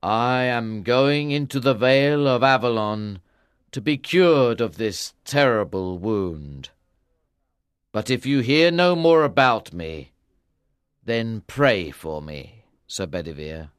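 A woman's voice: a bass voice, lively intonation, slow, clean tone, no room sound, clean studio sound, pitched below the performer's own voice, exaggerated voice. A woman voicing a wounded, dying king in a storybook, weary but commanding, with weak, labored speech. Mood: solemn, fading. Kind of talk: storybook character voice.

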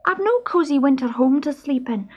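A woman plays a little storybook stoat, a worried young male with a high voice that swoops as he frets. He sounds plaintive and pleading, full of exclamation. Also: animated, moderate pace, muffled recording.

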